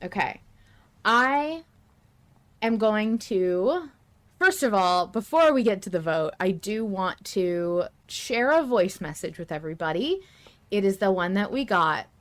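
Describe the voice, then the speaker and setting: A woman hosting a casual fan discussion podcast, speaking in an emphatic, passionate and halting way. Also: mid-range voice, animated. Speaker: podcast host.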